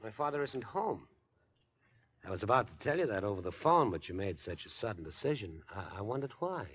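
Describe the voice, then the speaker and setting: An American actor playing an unhinged, obsessive young rich murderer in 1950s radio suspense style, wheedling, insinuating and theatrical. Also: male voice, on the low side, very animated, brisk, a bit bright, breathy edge, heavily acted. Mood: coolly taunting. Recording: noisy vintage 1950s radio recording.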